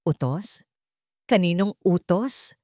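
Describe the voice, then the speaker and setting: A woman's voice: lowish voice, animated, slow, a little mellow, dry recording. A woman voicing a distraught, pleading mother of a young child in a dramatic story. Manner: pleading, anguished.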